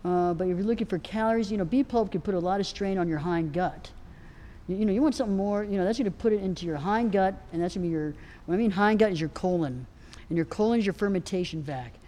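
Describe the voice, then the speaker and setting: A soft-spoken woman answering questions on a live panel, rambling with lots of fillers and restarts. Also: mid-range voice, fast talker. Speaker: equine nutrition expert answering questions. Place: outdoor event festival.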